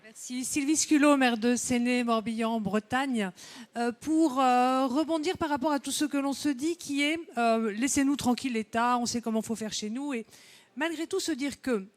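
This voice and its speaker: A woman, a mayor, making a spontaneous remark from the floor at a conference in a deliberate, halting way. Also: sits high. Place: conference room. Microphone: handheld audience microphone.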